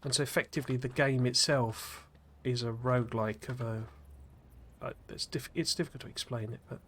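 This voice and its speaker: A man chats casually while streaming a game, wandering off into long asides full of fillers and restarts. His voice sits at a medium pitch and carries a slight gruffness from a cold. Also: steady tone, normal speed, fairly bright tone, a little hiss or hum. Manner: rambling, chatty, many fillers and restarts. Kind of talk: casual live-stream chat while playing.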